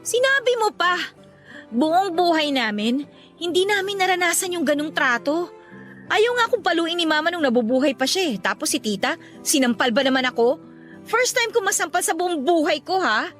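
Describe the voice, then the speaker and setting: A woman's voice: very high-pitched, expressive, normal speed, fairly bright tone, soft background music. A woman playing a troubled, indignant young working woman in a Tagalog radio drama, talking in an emotional, aggrieved way.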